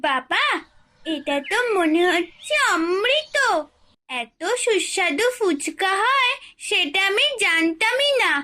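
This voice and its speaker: A woman's voice: very high voice, big pitch swings, slow, bright, forceful tone, full character voice. A woman voicing a sweet, eager young daughter in a cartoon. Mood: delighted. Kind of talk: cartoon voice acting.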